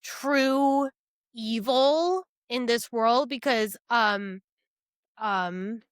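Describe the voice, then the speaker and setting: A woman's voice: a little high, very slow, smooth, no echo, clean studio sound. A woman co-hosting a podcast, chatting casually and emphatically, with some swearing. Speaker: podcast co-host. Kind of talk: casual book discussion chat.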